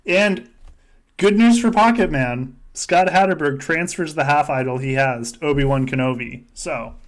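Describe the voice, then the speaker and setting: A man's voice: fairly high pitch, moderate pace. A man hosting a podcast, giving casual, joking play-by-play of a game among friends and swearing freely. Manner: joking, foul-mouthed. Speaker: podcast host running a simulated game.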